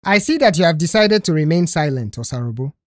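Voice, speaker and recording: Lady doing a strict fed-up uncle boss, sarcastic scolding, swooping pitch, audio story. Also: expressive, fast, no echo, clean studio sound. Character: stern, exasperated male garage boss and uncle.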